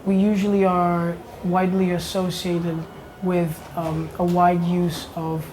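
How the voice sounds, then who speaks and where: A man's voice: high-pitched, monotone, measured pace, darkish tone, slight background noise. A young man from Italy speaking as a panelist in a casual round-table discussion, hesitant and searching for words.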